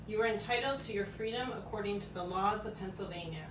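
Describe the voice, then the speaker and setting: A woman's voice: medium pitch, fast, lightly acted. Woman as distant, echoing formal historical orator. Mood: formal proclamation. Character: formal voice of historical proclamations and news reports. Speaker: puppet show performer reading quoted speeches and reports. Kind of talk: formal declaimed quotation. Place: outdoor courtyard performance. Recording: distant, echoing, noisy live courtyard recording.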